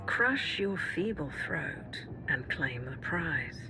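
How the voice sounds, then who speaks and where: A menacing female warrior from a sci-fi game. Her voice sits low, deadpan and measured, with a skeptical edge, and music plays behind it. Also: exaggerated voice. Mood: dry. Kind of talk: cinematic video game voice acting.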